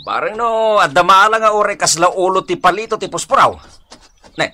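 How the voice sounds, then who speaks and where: An actor playing an excitable, comic village man in an Ilocano radio drama, with exclamatory, drawn-out reactions. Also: high voice, heavily acted. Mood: animated.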